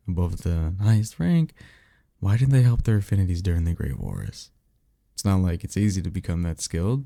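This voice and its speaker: Man playing a low, gravelly vampire mentor. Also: warm tone, no room sound, clean studio sound, exaggerated voice. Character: blunt, sarcastic male vampire acting as magic mentor. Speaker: voice actor. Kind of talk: ASMR roleplay audio drama, one-sided conversation.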